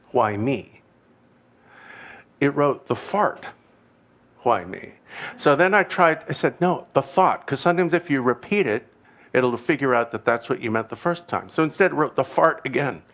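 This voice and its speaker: A man with a Canadian accent giving an informal dharma teaching, talking in a halting, digressive way with wry, self-deprecating humor. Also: medium pitch, a bit bright. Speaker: Buddhist teacher.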